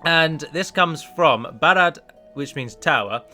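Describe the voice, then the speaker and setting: A man's voice: fairly high pitch, animated, takes it slowly, pushed and bright, soft background music. A man giving chatty, self-deprecating commentary as he plays and records a let's play on a close microphone. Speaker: gaming video host and mod developer.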